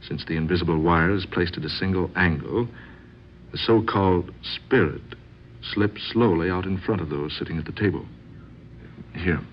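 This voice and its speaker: An actor playing a sceptical, aggrieved husband, formal and deliberate in an old-time radio drama manner. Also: male voice, sits low, close to an everyday voice. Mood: smug, explaining. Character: sceptical, aggrieved husband, stiff and coldly insistent. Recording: muffled, faintly noisy old radio recording.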